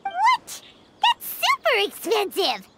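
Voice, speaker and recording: A woman voicing a tiny, childlike, excitable female fairy companion in a video game, with a squeaky, exclamatory delivery. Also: slow, creaky, very noisy, heavily acted. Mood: startled, dismayed. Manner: talks of self in third person, exclamatory. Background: music.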